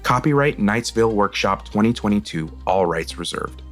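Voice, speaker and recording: Low-voiced man reading show credits. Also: lively intonation, moderate pace. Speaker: host, director. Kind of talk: scripted closing credits narration. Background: background music.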